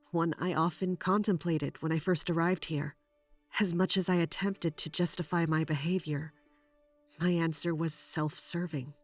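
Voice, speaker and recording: A woman's voice: low voice, no echo. A woman playing a guarded, resolute captain in an audio drama, terse, grave and introspective as she narrates and speaks. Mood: somber, reflective. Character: guarded female royal guard captain, self-blaming, resolute. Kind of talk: first-person dramatic narration and acted dialogue.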